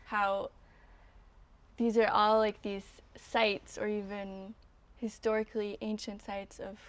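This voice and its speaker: A woman hosting a conversation, introducing her guest and asking gentle, thoughtful questions. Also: medium pitch, level tone, takes it slowly. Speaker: podcast host. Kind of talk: interview hosting.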